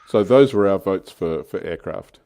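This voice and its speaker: A man's voice: low-pitched, expressive, normal speed, gravelly, dark tone. An Australian man chatting casually and irreverently as co-host of a hobby livestream.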